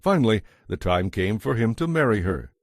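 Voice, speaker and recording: Deep, expressive male Bible narrator. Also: normal speed, no echo, clean studio sound. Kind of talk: dramatized scripted Bible narration.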